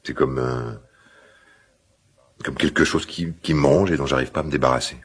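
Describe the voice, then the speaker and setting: An actor playing a middle-aged father in a radio drama, guilt-ridden and awkwardly tender, speaking hesitantly in a gravelly smoker's voice. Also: rumbling low, fairly even intonation, a bit bright, heavily acted. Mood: haunted, confessing. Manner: hesitant, halting, confiding. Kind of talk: naturalistic French radio drama dialogue.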